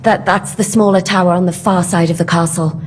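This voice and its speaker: A woman playing a brisk, sardonic medieval conspirator in a video game, speaking in a dry, practical manner. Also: on the low side, fairly even intonation, slight background noise, lightly acted. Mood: practical, urgent. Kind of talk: cinematic video game acting.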